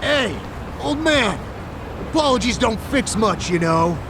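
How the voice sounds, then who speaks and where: A man's voice: very high voice, animated, measured pace, noisy background. An actor playing a taunting, aggressive male street thug in a game. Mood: threatening. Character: menacing young street thug shaking down passers-by. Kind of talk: English game dub acting.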